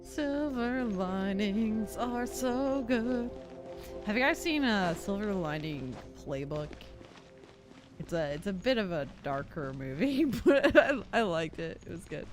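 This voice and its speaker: A woman's voice: lowish voice, sing-song, moderate pace, music in the background. A woman game streamer giving casual, playful, slangy and giggly commentary on her playthrough.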